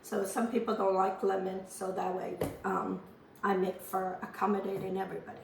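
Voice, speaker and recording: A hoarse woman, chatting homely and rambling as she demonstrates a recipe in her home kitchen. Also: medium pitch, little pitch movement, normal speed, distant mic, background noise. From Azores. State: hoarse from a lingering cough. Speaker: cooking channel host. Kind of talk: casual cooking demonstration. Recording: roomy kitchen, voice off-mic.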